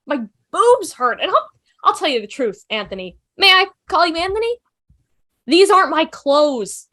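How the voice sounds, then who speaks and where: Woman acting a high, nervy, outspoken student. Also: a bit bright, exaggerated voice. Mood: exasperated. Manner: rambling, emphatic, theatrical. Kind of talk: fan table read of a TV drama.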